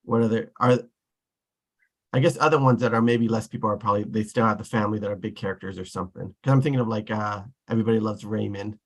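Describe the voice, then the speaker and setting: A man's voice: lowish voice, fairly even intonation, fast talker. A man moderating a casual group discussion, thinking aloud in a tentative way.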